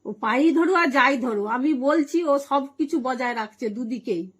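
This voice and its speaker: A woman's voice: sits high, expressive, normal speed, slightly rough. A woman arguing on the phone in a firm, defiant and aggrieved way. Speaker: wife in a phone confrontation. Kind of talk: heated phone argument.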